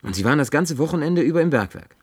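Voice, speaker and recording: A man's voice: fairly high pitch, expressive, fast talker, breathy edge. An actor in a German radio play playing a plain-spoken, skeptical, restrained man with a terse, wry, questioning manner. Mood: puzzled.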